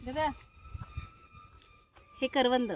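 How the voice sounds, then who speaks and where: A woman's voice: high-pitched, fairly even intonation, very slow. A woman in casual family conversation, explaining things informally. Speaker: vlogger's relative. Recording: noisy handheld family vlog.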